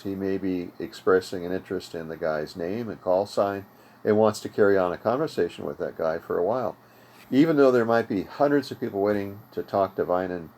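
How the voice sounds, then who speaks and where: A man's voice: low voice, a little mellow. An older American man hosting an informal, unscripted hobby tutorial in a conversational, explanatory way. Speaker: host of an amateur radio tutorial series. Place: home radio room.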